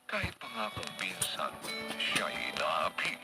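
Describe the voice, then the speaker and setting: A man's voice: sits low, huge pitch swings, measured pace. A man announcing a radio drama with solemn, theatrical delivery. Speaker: announcer-narrator. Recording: broadcast intro over loud music. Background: loud music.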